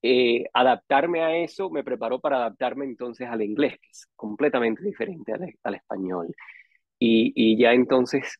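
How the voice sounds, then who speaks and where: A man in his forties with a Cuban accent, telling his story casually as an interview guest and often pausing to search for words. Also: mid-range voice, animated, no echo. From Cuba, raised partly in Costa Rica and Miami. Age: 40s. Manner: hesitant, searching for words with long pauses. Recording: dull, band-limited dry audio.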